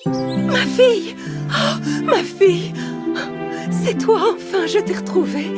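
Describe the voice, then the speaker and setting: A woman's voice: extremely high pitch, big pitch swings, takes it slowly, heavily acted. A woman playing a tender old peasant mother, overcome with emotion, in a children's cartoon fairy tale. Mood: tearful joy. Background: music.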